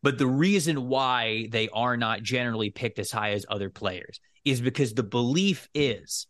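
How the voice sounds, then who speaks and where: A man's voice: mid-range voice, normal speed, a bit bright, no echo. Man explaining football, dry close sound. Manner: explanatory, hedging and clarifying. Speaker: sports podcast co-host. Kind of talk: casual football analysis chat.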